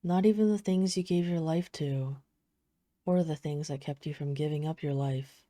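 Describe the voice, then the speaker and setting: Woman acting as a poised vampire lady, deep feminine voice, soft-spoken, flirtatious, close dry sound, audio roleplay. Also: fairly even intonation, normal speed, dry recording, natural voice. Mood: wistful, hushed. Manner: soft-spoken, teasing, smooth formal phrasing. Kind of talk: intimate scripted audio roleplay addressed to the listener.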